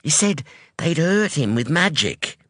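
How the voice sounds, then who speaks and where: Casting: man doing a blunt, defiant young woman in an audiobook, raised and lively, close dry sound. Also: on the high side, unhurried, dry recording, pitched above the performer's own voice, full character voice. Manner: blunt, challenging, insistent. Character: sharp, defiant young woman, street-tough daughter. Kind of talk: audiobook dialogue.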